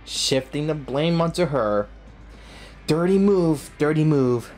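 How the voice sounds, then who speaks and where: A woman with a low voice gives loose, slangy gameplay commentary. Her pitch jumps around as she reacts to the game, with faint music playing behind her. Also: expressive, slow pace, darkish tone. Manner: slangy, reactive, talks to self and viewers. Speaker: gaming streamer.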